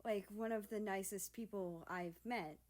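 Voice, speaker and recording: Casting: a woman as a smirking young male storybook character, teasing and slangy, unhurried delivery. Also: medium pitch, a little mellow, natural voice. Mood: reluctant.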